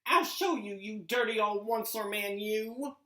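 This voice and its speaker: Small cranky male creature, scolding and indignant, read aloud by a woman, pitched up, slow. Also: vocal fry. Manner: indignant, scolding, rhythmic rhyming delivery. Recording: roomy echo.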